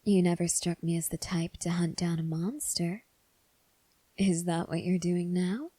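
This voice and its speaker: Girl doing a slow mellow vampire lady asmr, breathy n soft, calm sly teasing, super close dry sound. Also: fairly low pitch, no room sound. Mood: teasing, curious. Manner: soft, breathy, measured delivery with deliberate pauses. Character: calm, probing female vampire, protective and slyly teasing. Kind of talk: ASMR roleplay addressed to the listener.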